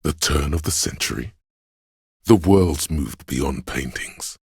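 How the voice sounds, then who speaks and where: A man voicing a detective's pretentious, arty inner voice for a video game, theatrical and deliberate, with a gravelly, husky tone. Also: rumbling low, no echo, clean studio sound, full character voice. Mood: pretentious, intrigued. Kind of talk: video game narration, second-person commentary.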